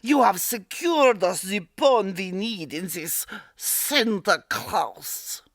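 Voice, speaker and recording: A woman playing a regal, gloating villain queen with a measured, scheming manner in a radio serial parody. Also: mid-range voice, animated, no room sound, studio quality.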